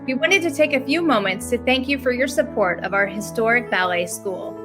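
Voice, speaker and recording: A woman speaks in a fairly high voice, reading a prepared address as an organization's chief executive. Music is clearly audible behind her. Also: a little fast. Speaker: ballet school president and CEO. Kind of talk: scripted address to viewers. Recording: prominent music under the voice.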